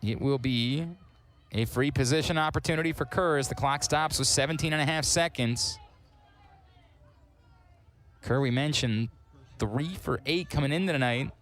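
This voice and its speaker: A man calling live play-by-play on a sports broadcast, with wry asides between plays. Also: mid-range voice. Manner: quick, excitable calls on big plays. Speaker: sports commentator.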